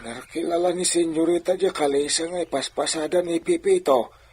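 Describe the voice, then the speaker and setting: A man's voice: a little high, steady tone, moderate pace, a little mellow, slightly rough. A male actor playing a reluctant, grumbling older father in a radio drama, with a hesitant manner.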